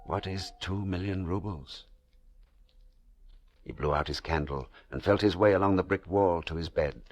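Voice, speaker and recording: Very deep solemn male radio narrator. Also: moderate pace. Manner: solemn, suspenseful, rhetorical. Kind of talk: old-time radio dramatic narration.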